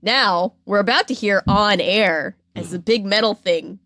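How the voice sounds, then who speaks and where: A woman hosts a casual podcast in a mid-range voice. She tells stories in an animated, joking manner. The sound is dry and close. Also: mid-range voice, measured pace, no room sound. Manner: animated, jokey, acts out quotes. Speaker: podcast host. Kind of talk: casual storytelling chat.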